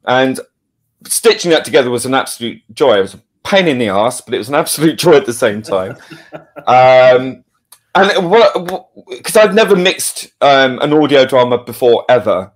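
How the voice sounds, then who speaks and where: A man in casual interview chat as a guest, halting and chuckly, talking about his work in a self-deprecating way. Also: medium pitch, very animated. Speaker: audio drama producer and director, podcast guest.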